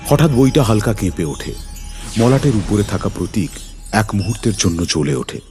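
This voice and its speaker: A man's voice: on the low side, very animated, moderate pace, a little mellow. A man narrating a scripted suspense story in a dramatic, suspenseful manner. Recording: music behind the voice.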